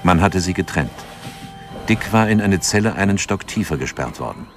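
A man narrating a radio drama in a calm, measured way. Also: low voice, slight background noise. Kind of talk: scripted narration.

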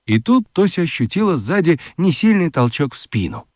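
Very expressive male storyteller, dry close sound. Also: fairly high pitch, normal speed, no echo. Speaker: narrator. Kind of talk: expressive storybook narration for children.

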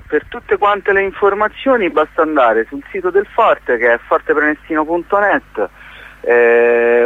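A man giving an informal radio interview as a theatre organiser, explaining events in a halting, hesitant way. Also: medium pitch, no echo. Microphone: phone call. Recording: tinny, narrow phone line on radio.

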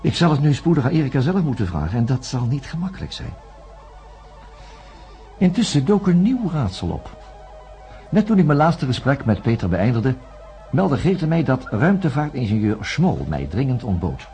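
An earnest, troubled investigating doctor in a radio play. He speaks formally and deliberately with lively, wide-moving intonation, over some music. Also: medium pitch. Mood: pensive, grave. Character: earnest, troubled male doctor investigating astronauts, indignant when challenged. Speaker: radio drama actor and narrator. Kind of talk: radio play acting with first-person narration.

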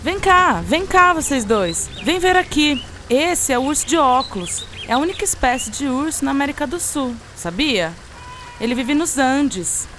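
Radio drama role: kindly, playful, nature-loving Brazilian aunt, animated high voice, patient with children. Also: female voice, moderate pace, slight background noise. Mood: patient, enthusiastic. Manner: affectionate, patient, explaining.

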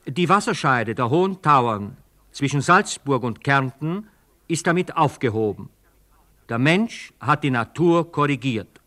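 Bright, forceful radio newsreader. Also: male voice, mid-range voice, lively intonation, unhurried. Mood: solemn, proud. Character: solemn radio newsreader announcing a national feat. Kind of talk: formal news reading within a radio play.

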